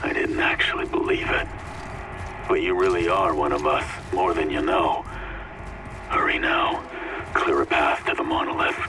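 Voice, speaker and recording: A man's voice: extremely low pitch, steady tone, normal speed, long pauses, noisy background. A man playing a cryptic, solemn altered wanderer in a video game, speaking in a portentous, formal way. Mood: intense, knowing. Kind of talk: video game cutscene acting.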